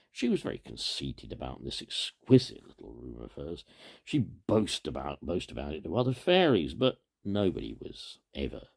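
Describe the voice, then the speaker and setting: A man reads a children's story aloud as a storyteller, with an expressive, emphatic lilt and a gravelly, husky voice. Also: low voice.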